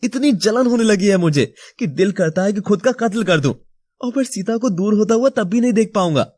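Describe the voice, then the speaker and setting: An actor playing a troubled, jealous young husband in an audio story drama, earnest and confused. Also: male voice, sits high, animated, brisk, a little mellow, no room sound. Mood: jealous, anguished. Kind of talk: acted Hindi audio story dialogue.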